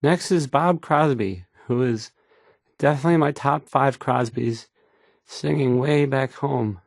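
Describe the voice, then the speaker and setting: A man's voice: medium pitch, vocal fry, dry recording, studio quality. An actor playing an awkward, insecure young male radio DJ who stammers and gets flustered introducing songs. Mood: awkward, hesitant. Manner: halting, stammering, flustered, self-doubting. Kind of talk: stumbling radio song introductions.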